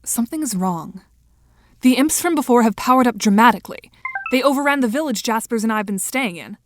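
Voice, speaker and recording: A woman's voice: fairly high pitch, lively intonation, a little fast, music in the background, natural voice. A woman playing a composed, formal teen girl. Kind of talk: audio drama acting.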